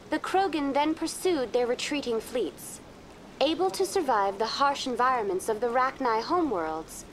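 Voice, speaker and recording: A woman voicing a polite virtual guide program in a video game, formal and measured, narrating like a reference source. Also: a little high, low-quality recording. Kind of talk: scripted game lore narration. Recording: faint ambient noise behind.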